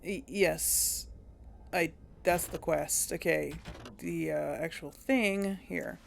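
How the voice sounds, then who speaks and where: A woman's voice: low-pitched, slow pace, gravelly, a little hiss or hum. A young adult woman giving casual commentary on a game she plays, reacting aloud with laughs and wry remarks. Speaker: let's play host.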